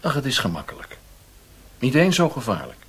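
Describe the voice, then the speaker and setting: An actor playing a controlling boss of an opium house in a radio play, measured, courteous and commanding. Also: male voice, medium pitch, huge pitch swings, a bit bright, a little hiss or hum. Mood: controlled, sly. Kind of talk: radio drama acting.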